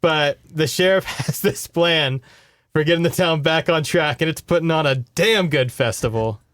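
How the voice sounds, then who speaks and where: A man's voice: on the high side, normal speed, fairly bright tone, dry recording, clean studio sound. A man with an American accent chatting casually and wryly as he recaps a book as a podcast co-host. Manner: wry, informal, sarcastic.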